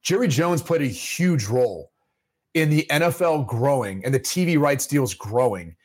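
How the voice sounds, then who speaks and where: A man's voice: medium pitch, animated, normal speed, a little mellow. A young adult man co-hosting a sports podcast, bantering and joking with fillers and tangents. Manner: joking, teasing, rambling with many fillers. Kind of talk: casual banter about football.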